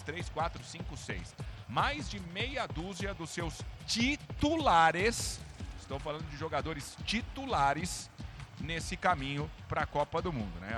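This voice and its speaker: High-voiced Brazilian sports host over music. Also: male voice. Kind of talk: live sports broadcast presenting. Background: music bed.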